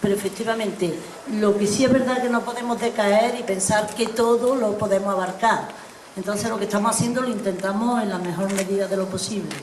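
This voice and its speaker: A woman speaking at a public assembly about volunteer work, earnest, with filler pauses. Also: mid-range voice, fairly even intonation, a little mellow, roomy sound, a little hiss or hum, rough recording.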